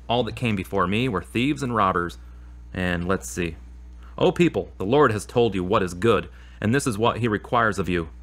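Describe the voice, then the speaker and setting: A man acting as a troubled, remorseful man in an audio drama. His voice is on the low side, and he talks in an earnest, confessional way. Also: a bit bright. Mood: searching, prayerful.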